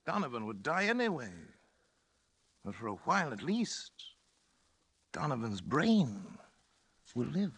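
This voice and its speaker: An obsessed male scientist speaks with brisk authority and intensity. His voice sits in a middle range, in the style of old-time suspense radio, on a vintage broadcast recording. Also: mid-range voice, big pitch swings, fairly bright tone, slight background noise. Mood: cold, resolute. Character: obsessed, commanding male surgeon-scientist, a father. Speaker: actor in a radio suspense drama.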